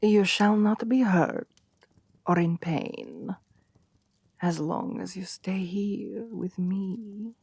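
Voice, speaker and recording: A woman's voice: medium pitch, drawn-out words, no room sound, full character voice. A woman voicing a seductive, domineering vampire queen in an intimate ASMR roleplay, haughty and possessive, with a languid, commanding purr that often drops toward a whisper. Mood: seductive, coaxing. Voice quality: breathy, often dropping to whispers. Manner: languid, condescending, commanding purr with soft chuckles.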